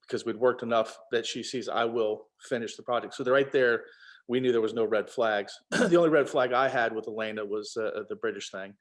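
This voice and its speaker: A man's voice: medium pitch, a little fast. A man talking casually as an author, telling anecdotes and acting out bits of quoted dialogue. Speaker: author, co-writer of a novel. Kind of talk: casual panel conversation.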